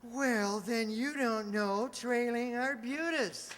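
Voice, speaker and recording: Man acting a slow, mild, deadpan druggist. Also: extremely high pitch, slight background noise, full character voice. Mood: dry, mild. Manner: hesitant, deadpan, drawn-out folksy delivery. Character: mild, henpecked small-town druggist, dryly contrary older man. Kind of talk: old-time radio comedy acting. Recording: reverberant hall, stage re-enactment.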